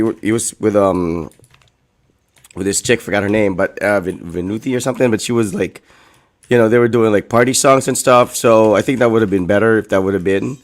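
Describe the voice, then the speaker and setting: A man in his thirties, still a bit hoarse, co-hosting a casual talk show and rambling with lots of "like" and "you know". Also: fairly low pitch. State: hoarse, recovering from a lost voice. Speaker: co-host. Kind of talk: casual chat.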